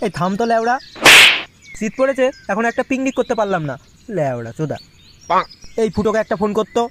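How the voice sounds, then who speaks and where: A man plays a crabby, foul-mouthed young cartoon fellow with a very high voice. He is abrasive and bossy. The recording has clear noise behind it. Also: sing-song, fairly quick. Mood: irritated. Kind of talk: comic cartoon dubbing.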